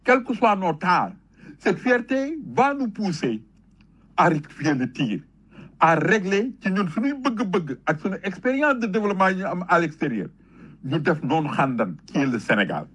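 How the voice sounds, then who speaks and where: A man's voice: high voice, very animated, normal speed, fairly bright tone, breathy edge. A man with a Senegalese accent giving an impassioned patriotic talk, emphatic and rhetorical, telling stories with repetition. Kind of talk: impassioned patriotic public talk, switching between French and Wolof.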